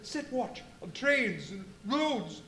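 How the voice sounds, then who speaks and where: An actor in a live radio play playing an anxious, alarmed doctor, with an agitated, stammering, pleading manner, in an echoey venue. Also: male voice, extremely high pitch, very animated, takes it slowly, pushed and bright, exaggerated voice. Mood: alarmed, urgent. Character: anxious, alarmed male doctor, horrified by a madman. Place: live performance in a brewery venue.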